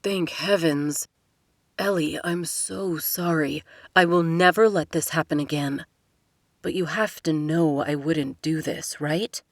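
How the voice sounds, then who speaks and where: A woman voicing an apologetic young man, speaking softly and sheepishly. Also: sits low, slow, no echo, studio quality. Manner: soft, hushed, apologetic.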